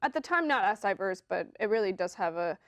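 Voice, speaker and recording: A woman's voice: medium pitch, lively intonation, fairly quick, fairly bright tone, no echo. A woman in her twenties from Colombia, a native Spanish speaker who grew up partly in Florida, answering interview questions in an informal, conversational way. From Colombia, moved to Florida as a child. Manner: informal, frequent "like" and "you know". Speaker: interview guest, venture capitalist.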